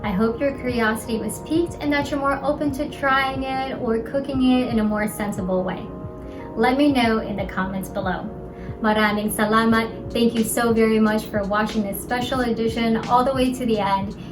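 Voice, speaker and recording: A woman's voice: on the high side, fairly even intonation, normal speed, music in the background. A young Filipino-American woman, a native Tagalog speaker, cheerfully talking viewers through a cooking demonstration step by step. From Philippines. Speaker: cooking channel host.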